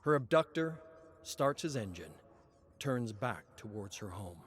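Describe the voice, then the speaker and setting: A man reading scripted narration as a storyteller, solemn and measured, with dramatic pauses. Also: lowish voice, very animated.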